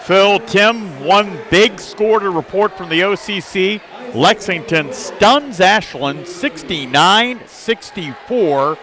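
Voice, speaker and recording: American guy giving score updates, high voice, slow pace, crowd din behind. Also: lively intonation, pushed and bright. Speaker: sports score reporter. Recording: noisy gym with crowd din.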